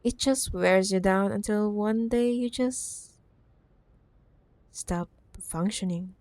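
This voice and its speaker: Female actor for obsessive corporate villainess ex in ASMR drama; teasing, mock-sweet menace, unhurried delivery, very dry sound. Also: medium pitch, a little mellow, dry recording. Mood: ominous, mock-pitying. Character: possessive, menacing, sweetly condescending corporate CEO ex-girlfriend. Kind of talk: roleplay ASMR audio drama.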